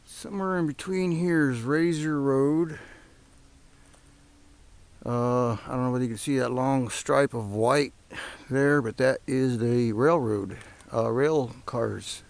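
A middle-aged man talking casually to his camera outdoors on a hike, in an easygoing, halting way. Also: mid-range voice, vocal fry, no room sound. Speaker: hiker filming a vlog. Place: open rocky desert, outdoors. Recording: outdoor camera mic with faint noise.

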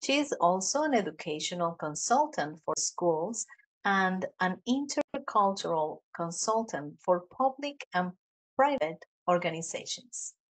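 A woman's voice: on the low side, sing-song, unhurried, rough recording. A woman hosting a podcast interview, reading an upbeat introduction and then asking questions casually. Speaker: podcast host. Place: home office with bookshelves. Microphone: podcast mic on video call.

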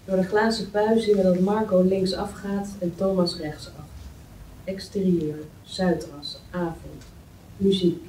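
Low, mellow woman reading stage directions, roomy. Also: fairly even intonation, a little slow. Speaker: script reader of stage directions. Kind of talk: scripted narration, screenplay table read. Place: meeting room, indoors. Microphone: far camera. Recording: roomy, distant mic, faint noise.

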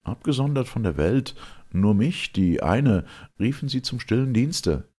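An old, sinister hag declaims her tale in classical verse drama, played by a male reader. The voice is husky and slow, swinging widely in pitch with boastful, theatrical emphasis. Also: very animated, dark tone. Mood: confiding, animated. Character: ancient sinister hag storyteller Phorkyas, animated and boastful.

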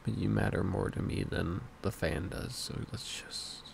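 A man playing a worried, devoted boyfriend in an intimate ASMR roleplay, speaking in a halting, tender hush that often drops toward a whisper, with a gravelly edge. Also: low voice. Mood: soothing, loving. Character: worried, devoted boyfriend comforting his partner. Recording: steady fan noise behind the voice. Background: whirring fan.

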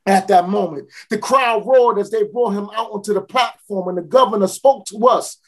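A woman's voice: medium pitch, moderate pace, close to an everyday voice. A scornful street fruit seller, as a woman performs her. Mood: boastful. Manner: folksy, dramatic, with repeated builds and sudden shouts. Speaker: dramatic monologue performer. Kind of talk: theatrical spoken-word monologue.

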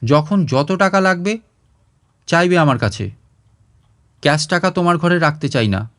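A man's voice: on the high side, expressive, a little slow, a bit bright. A man voicing a caring grown daughter who firmly manages her father's affairs in a storybook reading. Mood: reassuring, firm.

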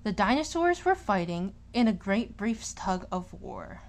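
A woman reading a children's picture book aloud in an expressive, deliberate storytelling manner, stressing key words. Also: medium pitch, a little hiss or hum.

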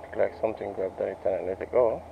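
A man from Jamaica casually narrating his fishing trip from a rocky shore, talking to the viewers in a relaxed, muttered way. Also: lowish voice, quick pace, creaky. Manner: relaxed running commentary, often muttered. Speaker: fishing vlogger. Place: rocky shore by a bridge, outdoors. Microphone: head-mounted action camera. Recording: lo-fi action-camera audio with outdoor noise.

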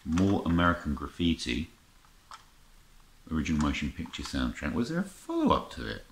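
Very deep-voiced middle-aged man chatting. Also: wildly swooping pitch, normal speed. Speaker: vlogger showing a music haul. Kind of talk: casual chat to camera, unscripted. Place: indoor room lined with record shelves. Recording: roomy echo, faint noise, clicking handling sounds.

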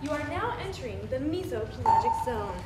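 A woman's voice: high voice, lively intonation, brisk. A woman playing an announcer, giving scripted announcements in a puppet show. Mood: announcing. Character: announcer of dive depths. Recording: roomy theatre recording with loud music.

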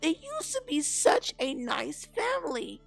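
A woman's voice: sits high, very animated, a little slow, creaky, faint music behind, voice pushed up, full character voice. A woman voicing a prim, scandalized small woodland animal neighbour in a children's storybook. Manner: scandalized, fussy. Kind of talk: children's storybook read-aloud.

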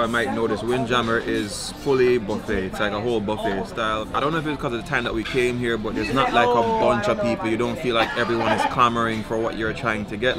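A young man, vlogging casually from a cruise ship dining room while he tries the food. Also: medium pitch. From Jamaica. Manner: relaxed, chatty, food-tasting commentary. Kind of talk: casual travel vlog. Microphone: camera held at arm's length.

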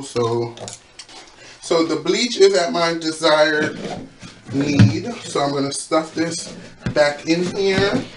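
A young man with a fairly high voice talks slowly and easily through a home craft project, tossing in playful asides. He is recorded on a camera mic in a small echoey bathroom, with a faint hiss of background noise. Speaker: DIY vlogger. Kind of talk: casual how-to vlog.

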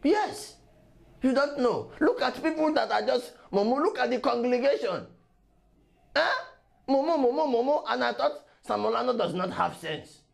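A Nigerian-accented man playing an envious, aggrieved village witch doctor who exclaims, wails and repeats himself in a creaky voice. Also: very high-pitched, expressive, normal speed, full character voice. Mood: scornful.

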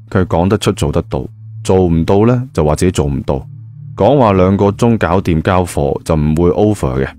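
A man's voice: low voice, mellow. A man with a Hong Kong Cantonese accent telling a story in a colloquial narrating style. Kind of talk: colloquial audio-novel narration.

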